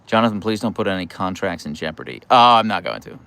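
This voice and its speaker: A middle-aged American man hosts a relaxed livestream in a low voice that swings widely in pitch. He is playful and wry, reading out viewer comments and feeling his way into answers with halting starts. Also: vocal fry, clear, resonant tone. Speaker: livestream host.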